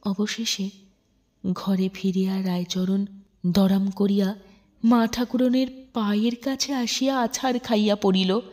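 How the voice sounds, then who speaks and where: A woman narrating a literary story in an expressive, measured, dramatic audiobook style. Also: medium pitch.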